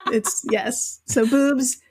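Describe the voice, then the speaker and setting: A woman's voice: fairly high pitch, steady tone, drawn-out words, darkish tone. A giggly, irreverent woman reading out a comedic quiz casually as a podcast guest.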